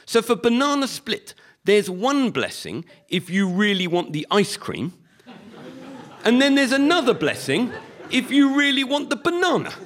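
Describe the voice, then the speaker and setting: A man with a Liverpool accent performing a stand-up comedy monologue with a wry, deadpan delivery. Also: extremely high pitch, unhurried. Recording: live recording with audience noise.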